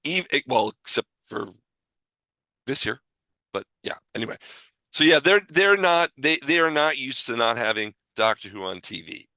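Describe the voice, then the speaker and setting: A man with a bright, forceful voice and middling pitch co-hosts a casual fan discussion. He thinks aloud, halting and correcting himself as he goes. The sound is close and very dry. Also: expressive, no echo. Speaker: podcast co-host.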